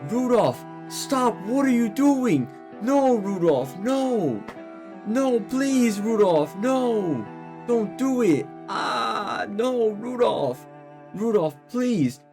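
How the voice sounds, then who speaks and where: A man voicing a panicked onlooker who begs a villain to stop, in a strained falsetto-like cry. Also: very animated, very slow, music in the background, full character voice. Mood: desperate pleading.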